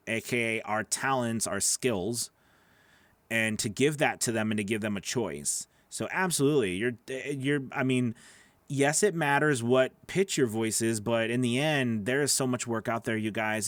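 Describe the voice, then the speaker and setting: A man's voice: lowish voice, fairly bright tone, no room sound. A man in his thirties with a nasal voice, chatting casually through a live Q&A from his home studio, repeating words and reading viewer questions aloud. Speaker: voice actor hosting a live Q&A. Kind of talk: casual livestream Q&A. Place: small home studio, indoors. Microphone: large studio microphone on boom arm.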